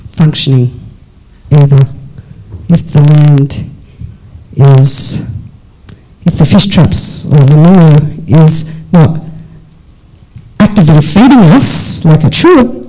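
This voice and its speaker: An Australian speaker hosting a live panel discussion in a relaxed, joking manner. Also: mid-range voice, poor audio. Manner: relaxed, joking, drawn-out phrasing. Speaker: panel host.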